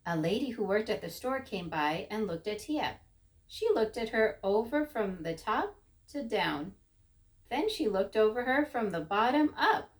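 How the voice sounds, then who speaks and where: A woman's voice: medium pitch, expressive, unhurried. A woman narrating a children's story expressively, with rhythmic, dramatic emphasis.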